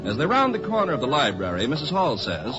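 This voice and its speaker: A male announcer narrates a vintage radio show with lively, scripted inflection. Loud music plays behind him, and the old recording sounds muffled. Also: mid-range voice, fast.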